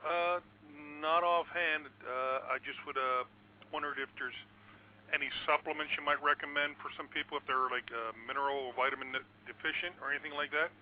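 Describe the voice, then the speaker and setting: Quick, halting male caller, lo-fi. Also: on the high side, steady tone, slight background noise. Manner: hesitant, halting with uh. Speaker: radio caller. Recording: dull lo-fi phone audio.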